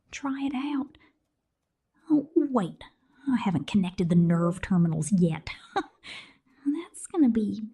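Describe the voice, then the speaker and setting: Casting: a woman playing a cruel Southern tinkerer in ASMR, breathy whisper, lively teasing lilt, faint music behind. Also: on the high side, heavily acted. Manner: whispered, teasing, calls the listener sugar. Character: sadistic, cool-headed woman inventor and robot tinkerer. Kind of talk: ASMR roleplay.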